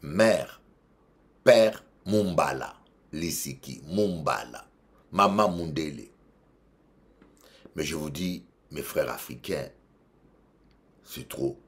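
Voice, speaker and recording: Congolese-accented male bishop, low voice, emphatic sermon delivery with repeated lines. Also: lively intonation, takes it slowly, long pauses. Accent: Congolese French. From Democratic Republic of the Congo. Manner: emphatic, swings between loud exhortation and quiet asides, repeats phrases. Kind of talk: preaching sermon.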